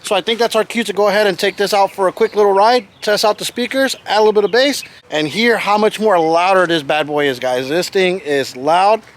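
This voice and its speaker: A man giving casual, excited vlog commentary as host of a car audio channel, with an informal, slangy, hyped-up delivery. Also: high voice, brisk, few pauses.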